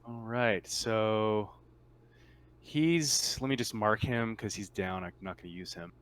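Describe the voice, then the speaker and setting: A man's voice: fairly low pitch, slow pace, fairly bright tone, smooth. A man acting as game master, casually running and narrating a tabletop roleplaying game.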